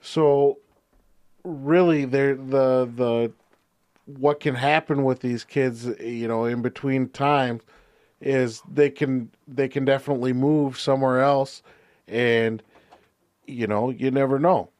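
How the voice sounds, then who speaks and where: A man's voice: medium pitch, fairly even intonation, takes it slowly, darkish tone, no room sound. Easygoing guy on a sports podcast, lots of "you know", no room sound. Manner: agreeable, many you-knows and restarts. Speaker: podcast co-host. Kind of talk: casual sports chat.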